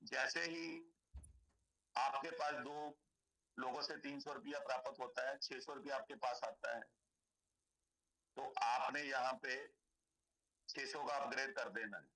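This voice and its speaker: Hoarse man pitching a donation scheme. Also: steady tone, unhurried, long pauses, pushed and bright, low-quality recording. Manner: persuasive, repetitive explaining. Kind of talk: sales pitch on a call.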